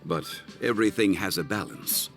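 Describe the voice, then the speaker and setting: An actor playing a composed, erudite gentleman consultant, speaking formally and eloquently in an anime game. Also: deep voice, normal speed, soft background music. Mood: calm, thoughtful. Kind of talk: anime video game voice acting.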